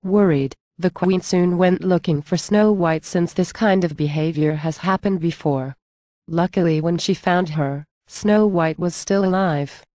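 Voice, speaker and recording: Mellow, lowish-voiced woman narrating. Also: unhurried, dry recording. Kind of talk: scripted storybook narration.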